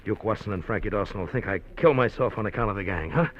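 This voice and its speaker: An actor playing a desperate, pushy small-time gangster on the run, tough-talking in 1950s radio drama style. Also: male voice, fairly low pitch, very fast, natural voice. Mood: eager. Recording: muffled vintage radio broadcast with faint hiss.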